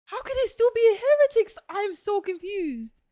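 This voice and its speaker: A woman streamer with a high voice gives casual, questioning game commentary in an informal, reactive way. The sound is close and dry, with faint music behind. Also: very animated, normal speed, mellow, dry recording. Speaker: live streamer playing a game.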